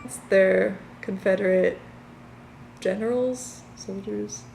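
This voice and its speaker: A young woman from Virginia answering street interview questions in a thoughtful, measured way. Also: medium pitch, steady tone, gravelly, darkish tone, reverberant, slight background noise, low-quality recording.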